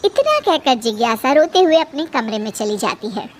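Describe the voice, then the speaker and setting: A woman's voice: high-pitched, very animated, moderate pace, a little hiss or hum. A woman narrating a scripted moral bedtime story as the storyteller.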